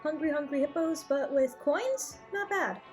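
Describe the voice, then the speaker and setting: A woman reviewer giving casual, opinionated cartoon commentary with animated asides and exclamations. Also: high-pitched, a little slow, soft background music. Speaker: video reviewer.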